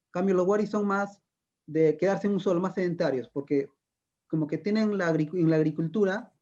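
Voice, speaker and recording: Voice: medium pitch, mellow, muffled recording. An interviewer asking a long, conversational question, hesitating and repeating words.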